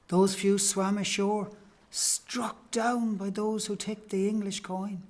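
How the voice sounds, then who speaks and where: A man's voice: sits high, flat delivery, slow. A man playing a kindly, solemn Irish priest in an audio play, speaking in archaic, declamatory phrases.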